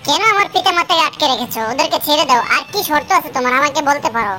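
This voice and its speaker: Woman as a high-voiced, earnest young mermaid princess. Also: animated, racing, pushed and bright, a little hiss or hum. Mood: anxious. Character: young mermaid princess, anxious but friendly. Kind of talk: children's cartoon story acting.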